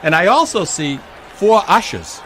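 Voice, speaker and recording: A man's voice: high-pitched, sing-song, unhurried, fairly bright tone. A man doing mock live parade commentary on radio, announcing absurd details in an earnest, straight-faced way. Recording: clearly noisy outdoor broadcast.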